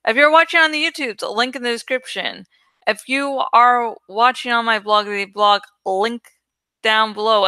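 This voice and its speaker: A woman with a bright, fairly high voice talks casually to her audience as a channel host. She takes her time, with playful, self-mocking asides and the odd swear word. The sound is close and dry. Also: smooth, dry recording. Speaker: host of a dramatic book-reading channel. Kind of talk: casual chatty outro addressed to viewers.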